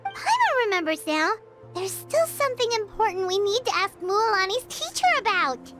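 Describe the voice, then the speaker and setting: A woman's voice: extremely high pitch, very animated, normal speed. A woman voicing a tiny floating fairy companion who talks about herself in the third person, in game dialogue. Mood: eager. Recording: game audio with music behind.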